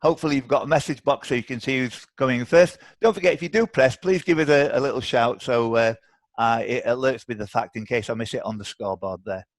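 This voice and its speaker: A man's voice: mid-range voice, fast, no echo. A man hosting a live online quiz, chatty and encouraging as he reads questions aloud. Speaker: quiz host. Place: online video call.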